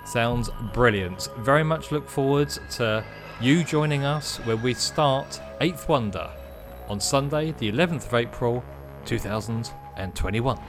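A man's voice: mid-range voice, lively intonation, measured pace, music in the background. A man co-hosting a podcast in upbeat, chatty, playful talk. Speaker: podcast co-host. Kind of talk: casual podcast chat. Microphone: remote call mic.

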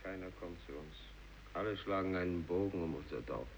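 Gloomy outcast fisherman, very deep voice, radio drama, muffled recording. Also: a little fast, slightly rough. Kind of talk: 1950s literary radio play acting.

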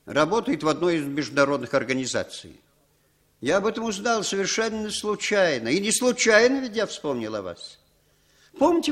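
An actor playing an insistent, smooth-talking man who coaxes and persuades in a 1960s radio play. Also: high-pitched, fairly bright tone, heavily acted. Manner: coaxing, wheedling, emphatic.

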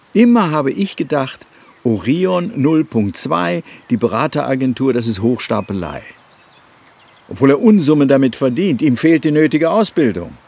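An actor playing a brooding bereaved brother in a radio drama, musing in a halting, dry and wryly unsettled way. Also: male voice, a little high, creaky, a little mellow, heavily acted. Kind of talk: naturalistic radio play acting.

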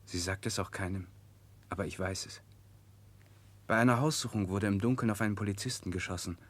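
Man acting frank, low-voiced idealistic exile. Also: lightly acted. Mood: confiding. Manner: formal, deliberate, direct. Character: principled male political exile, frank, protective, idealistic. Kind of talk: 1960s German radio drama acting.

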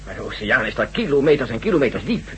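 A man acting in a radio drama as a no-nonsense general, brisk and insistent. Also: medium pitch, big pitch swings, lightly acted. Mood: concerned. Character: forceful, no-nonsense male American general. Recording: faintly noisy old radio drama recording.

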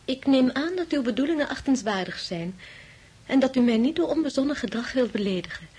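Timid young lady, higher-pitched, polite. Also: animated, fairly quick, dark tone, a little hiss or hum. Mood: earnest, formal. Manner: polite, hesitant, formal. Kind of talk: radio drama acting.

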